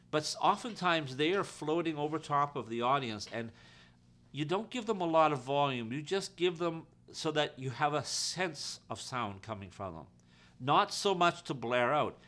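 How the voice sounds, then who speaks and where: A man's voice: mid-range voice, fairly bright tone. A man giving an informal, practical how-to explanation in a conversational way, as a technician teaching his trade. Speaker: audio-visual technician and instructor.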